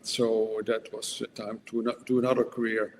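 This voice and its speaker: A man, a podcast guest who speaks in a measured way and pauses often with "uh". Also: fairly low pitch, little pitch movement. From Netherlands. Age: 60s. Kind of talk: podcast interview. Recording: long reverb, faint background noise.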